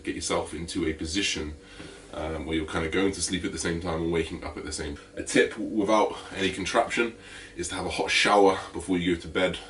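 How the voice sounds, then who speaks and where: Deep-voiced raspy man. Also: fairly quick, far from the mic, a little hiss or hum. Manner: energetic, chatty, full of fillers. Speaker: fitness vlogger. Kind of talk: casual vlog talk to camera.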